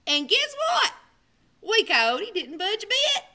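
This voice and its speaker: Very animated woman storyteller, high and bright. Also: slow. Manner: theatrical, emphatic, with hushed dramatic drops. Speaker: librarian storyteller. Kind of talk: animated children's storybook narration.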